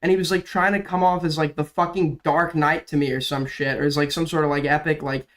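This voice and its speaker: A man's voice: fairly high pitch, steady tone, a little fast, fairly bright tone. A young man doing casual, rambling commentary on a livestream, sick and coughing, his voice cracking now and then, with lots of fillers and swearing. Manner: rambling, profane, filler-heavy. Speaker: drama commentary streamer.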